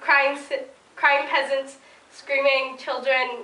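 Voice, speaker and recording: A woman's voice: sits high, little pitch movement, slow, pushed and bright, exaggerated voice. A woman playing an urgent, anxious royal messenger in an amateur stage play, earnestly reciting her lines. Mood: alarmed. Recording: distant echoey room, faint background noise.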